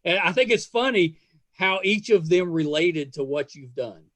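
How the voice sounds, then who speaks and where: A middle-aged man hosting a podcast, chatting casually in a halting, gushing and effusive way. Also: sits high, expressive, fairly bright tone, no echo. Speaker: podcast host. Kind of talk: casual interview chat and sign-off.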